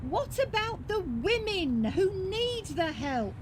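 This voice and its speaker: An actress playing an anxious, frustrated support worker in a radio drama, earnestly questioning herself. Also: female voice, very high-pitched, big pitch swings, slow pace, exaggerated voice. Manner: earnest, agitated, self-questioning. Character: anxious, frustrated woman who ran a domestic abuse service. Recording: clear background ambient noise.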